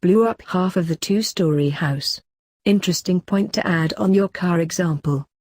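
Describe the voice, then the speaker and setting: Female narrator for read-aloud forum posts, dry close sound, flat steady delivery. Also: lowish voice, no echo.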